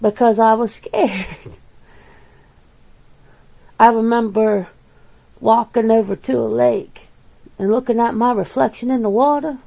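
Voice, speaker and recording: A plain-spoken, world-weary werewolf played by a woman in an ASMR roleplay interview. The voice is soft-edged and dark with heavy fry, and it moves slowly. The delivery is casual, blunt and defensive. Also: a little high, fairly even intonation. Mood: candid, animated. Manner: blunt, casual, swearing, conversational.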